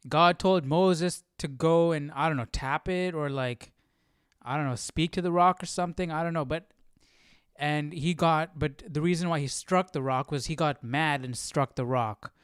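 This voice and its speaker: A man with a fairly high, clean voice chats his way through a study session. He questions and puzzles aloud in an informal way, in a dry, close recording. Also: moderate pace, clear, resonant tone, dry recording. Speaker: host of a live Bible study. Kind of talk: casual reaction and study commentary.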